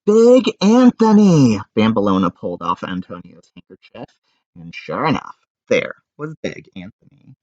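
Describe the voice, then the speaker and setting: A man reading a storybook aloud to children in an expressive narrating style. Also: low-pitched, moderate pace, no echo, lo-fi sound. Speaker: storybook reader.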